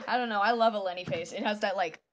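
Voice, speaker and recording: A woman streaming live, chatting with viewers in a soft, meandering way while she draws, with a voice that is deep for a woman. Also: a little hiss or hum. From northern United States. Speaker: livestreamer. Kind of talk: casual chat while drawing on a livestream.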